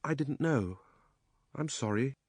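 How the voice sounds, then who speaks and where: A man voicing a young girl who is curious and proper, with a husky edge to the voice. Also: fairly high pitch, wildly swooping pitch, normal speed, dry recording, clean studio sound, pitched above the performer's own voice, exaggerated voice. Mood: earnest. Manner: prim, indignant retorts.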